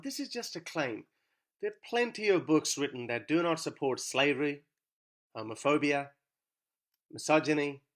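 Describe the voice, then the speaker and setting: Sarcastic man with lively, bright voice. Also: on the high side. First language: Urdu. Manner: sarcastic, rhetorical questioning. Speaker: YouTube commentator. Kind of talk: scripted argumentative monologue.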